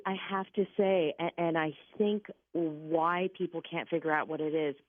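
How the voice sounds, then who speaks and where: Female call-in show medium, slightly low voice, emphatic and reassuring, heard over a narrow phone connection. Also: no room sound. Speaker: guest spirit medium on a radio call-in show. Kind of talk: conversational psychic reading.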